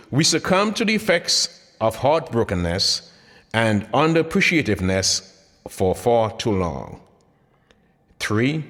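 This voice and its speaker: A man reading his own motivational audiobook in an emphatic, deliberate style with dramatic pauses. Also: on the low side, fairly bright tone. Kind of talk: scripted motivational audiobook narration. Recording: long hall-like reverb.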